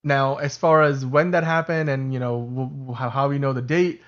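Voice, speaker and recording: A man's voice: medium pitch, steady tone, moderate pace. Livestream co-host, male, Urdu first language, loose and chatty, slightly muffled stream sound. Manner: chatty, self-correcting, laughs often. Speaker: podcast co-host. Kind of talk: casual livestream chat.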